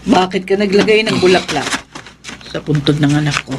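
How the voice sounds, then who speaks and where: A woman's voice: fairly low pitch, normal speed, heavy background noise, natural voice. An actor playing a strict, suspicious older mother in a horror radio drama, speaking sternly and ominously.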